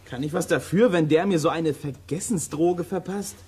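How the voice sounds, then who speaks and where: A man's voice: fairly high pitch, moderate pace, a little mellow, natural voice. An actor playing a cheeky, joking boy detective in a children's radio play. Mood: teasing.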